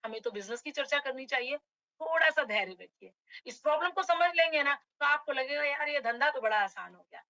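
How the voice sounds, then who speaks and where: High bright-voiced woman, slightly hoarse. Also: lively intonation, brisk, dry recording, poor audio. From Uttar Pradesh, India. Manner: addresses audience as friends, motivational. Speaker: direct selling trainer. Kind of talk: online webinar presentation.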